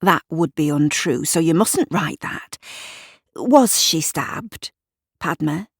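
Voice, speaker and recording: A woman's voice: deep voice, lively intonation, slow, vocal fry, slightly rough, dry recording, clean studio sound, full character voice. Prim, nosy neighbour woman, voiced by a female narrator. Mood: officious. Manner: prim, fussy, self-correcting. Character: self-important, nosy, fussy female neighbour.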